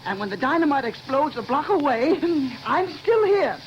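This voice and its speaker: A man's voice: very high-pitched, very animated, normal speed, dull audio. A man playing an unhinged bomber who stammers, giggles and talks like a child, in old-time radio drama style. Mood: gloating. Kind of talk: 1930s radio drama acting. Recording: old radio recording with noise.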